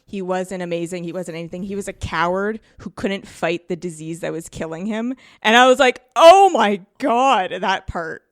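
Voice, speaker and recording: A woman's voice: mid-range voice, expressive, moderate pace, few pauses, no room sound, clean studio sound. A woman in her thirties chatting casually as a podcast guest, animatedly acting out the lines she quotes. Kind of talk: casual chat.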